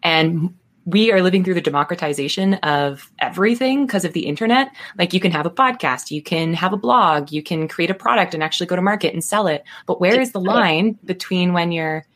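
Female podcaster, notably low register, reflective and earnest, relaxed conversational phrasing. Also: a little fast. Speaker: podcaster working at a school.

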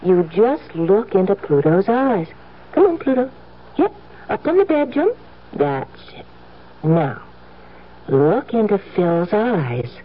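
Radio play casting: female, naive doting heiress wife, mid-pitched, cooing and coaxing, on dull old broadcast sound. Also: sing-song, slow, pauses often, husky, exaggerated voice. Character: doting, naive rich wife, girlishly sweet and insistent. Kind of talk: 1970s radio drama acting.

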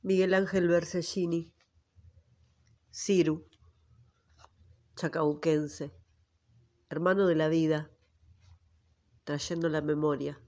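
Slow, flat-toned man, dull recording. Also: a little high. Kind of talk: scripted spoken tribute.

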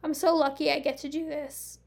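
A gentle police captain murmurs softly in a homemade storybook reading, put on by a woman narrator. The voice sits fairly high but carries a gravelly edge, hushed and protective. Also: level tone, brisk. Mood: tender.

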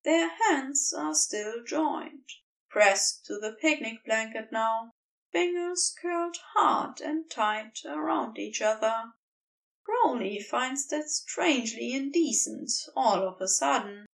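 A woman reading a tender romantic story as scripted narration, in a gentle, literary manner. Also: on the high side, lively intonation, slow, vocal fry, recorded from a distance, rough recording. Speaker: fan fiction audiobook reader.

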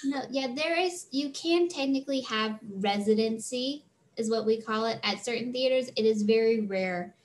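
A woman with a slightly high-pitched voice, a freelance theater tour manager answering interview questions in a chatty, earnest way with many fillers. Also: moderate pace.

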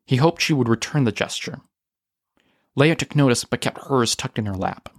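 A man's voice: mid-range voice, expressive, moderate pace, no room sound, clean studio sound. A man reading scripted audio fiction as its narrator.

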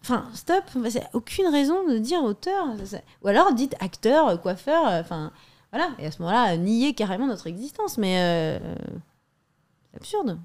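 A woman's voice: on the high side, very animated, normal speed, darkish tone. A young adult woman, a stand-up comedian, arguing her point insistently in a debate, with many fillers and restarts. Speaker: guest comedian. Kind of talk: heated debate.

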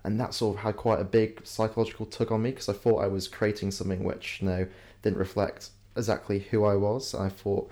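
A young man answers interview questions in a very low, flat, mellow voice. He sounds reflective, often hedging as he talks things through. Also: brisk. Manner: reflective, hedging with "you know" and "sort of". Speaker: guest, entrepreneur.

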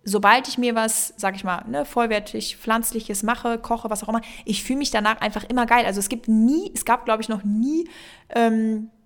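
A woman's voice: on the high side, moderate pace. Young woman podcast co-host, loose and rambling, heavy on fillers, mixes in English words. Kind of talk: casual podcast chat.

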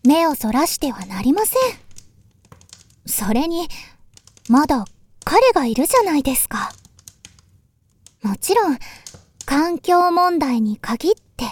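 A woman playing a polite, earnest younger sister in a voice drama, speaking formally and gravely. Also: sits high, heavily acted. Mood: gently chiding. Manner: formal, deferential, measured. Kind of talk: Japanese voice drama acting.

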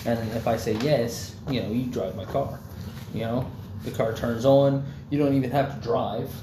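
A man's voice: mid-range voice, steady tone, moderate pace. A young man in casual chat, rambling loosely with lots of fillers and swearing. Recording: echoey room, faint background noise.

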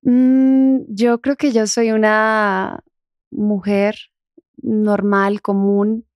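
A young adult woman from the Dominican Republic with a softened Dominican accent, talking casually as an interview guest. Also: mid-range voice, flat delivery, very slow, no echo, lo-fi sound. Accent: Dominican, softened toward neutral Spanish.

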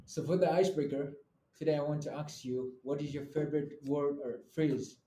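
A young man from Nepal co-hosting a student podcast in a relaxed, chatty way. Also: fairly high pitch, fairly quick, reverberant. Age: young adult. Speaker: podcast co-host. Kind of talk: casual podcast chat.

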